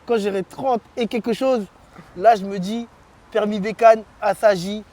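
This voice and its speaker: A man's voice: high voice, unhurried. A man, animatedly telling stories as an interview guest, constantly checking that the listener follows. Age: 20s. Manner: animated storytelling, frequent "tu vois". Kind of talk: casual street slang chat.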